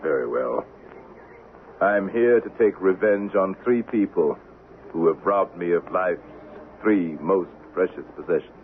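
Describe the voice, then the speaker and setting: An actor playing a patient stranger bent on revenge, calm, measured and quietly threatening, in old-time radio drama style. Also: male voice, low-pitched. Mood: cool, unbothered. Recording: muffled, hissy vintage radio recording.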